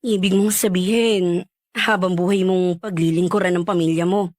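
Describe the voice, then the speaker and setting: A woman's voice: fairly low pitch, steady tone, normal speed, darkish tone, no room sound, clean studio sound, natural voice. A young woman playing a nosy, frank, well-meaning friend in chatty radio drama dialogue, probing and giving blunt advice. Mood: pushy, concerned.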